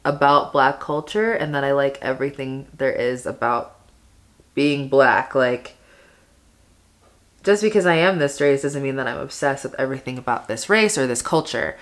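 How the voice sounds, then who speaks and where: A woman's voice: very deep voice, moderate pace. A young woman in her twenties chatting casually while doing her makeup at home, talking in a rambling way full of "like" fillers, close to the camera. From family from Panama, raised in America. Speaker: beauty vlogger. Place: bedroom at home, indoors.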